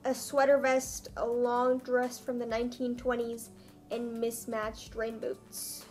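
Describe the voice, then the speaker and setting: A woman's voice: fairly high pitch, completely flat delivery, slow pace, darkish tone, soft background music. A young adult woman reading a story aloud in a comedic, mock-dramatic, self-mocking way with casual asides. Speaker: vlogger reading her own old story aloud.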